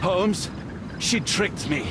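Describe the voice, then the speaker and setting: A man's voice: high voice, animated, extremely slow, heavy background noise, full character voice. A man playing a detective's bewildered, apologetic companion in a video game, hesitant and faltering. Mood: bewildered, dismayed. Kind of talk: dramatic video game acting.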